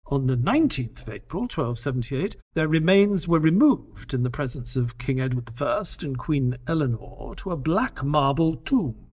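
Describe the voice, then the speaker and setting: An actor playing an earnest detective's companion and narrator in a scripted audio drama. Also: male voice, on the high side, breathy edge.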